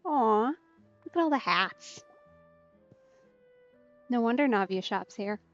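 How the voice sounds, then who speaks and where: A woman's voice: a little high, lively intonation, slow, muffled recording, soft background music. A woman commentating casually on a game as she plays, chatty and reactive. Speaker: gaming host. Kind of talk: let's play commentary.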